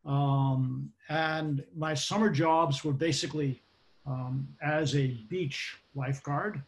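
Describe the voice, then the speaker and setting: A man in his sixties with a Boston accent, a guest recalling his life in a relaxed interview, talking in a measured way with frequent ums and restarts. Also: fairly high pitch. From Boston, United States. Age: 60s. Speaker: guest, occupational safety expert. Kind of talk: casual interview.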